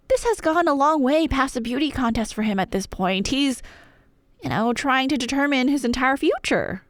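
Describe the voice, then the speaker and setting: Playful higher-voiced woman telling a story, dry close sound. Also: dry recording. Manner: conversational, wry, dramatic pauses and emphasis. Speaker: ASMR roleplay performer. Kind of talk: playful scripted myth storytelling, ASMR roleplay.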